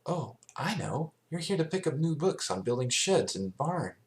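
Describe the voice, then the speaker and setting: Studious young unicorn mare who takes charge, read by a man, voice lifted in pitch, inquisitive, cartoon storybook. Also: sing-song, normal speed. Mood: inquisitive, decisive. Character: studious, decisive young female unicorn pony leader.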